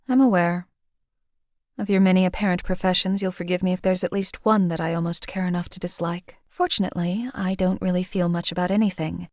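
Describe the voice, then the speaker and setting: An actress playing a cold, detached female assassin companion in a game, speaking in a calm, clipped, deadpan way. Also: lowish voice, no echo, clean studio sound, natural voice. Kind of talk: game voice lines.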